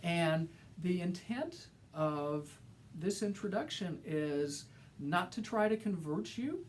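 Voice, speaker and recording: A man's voice: sits high, lively intonation, takes it slowly, slight background noise. Enthusiastic Southern man teaching. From Alabama, US South. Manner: enthusiastic, earnest, repeats phrases for emphasis. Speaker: college instructor. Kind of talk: lecture-style course welcome.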